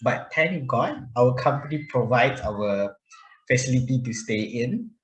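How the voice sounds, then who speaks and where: A young man moderating a student group discussion in a brisk, organizing way. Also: medium pitch, lively intonation, a bit bright, roomy sound, poor audio.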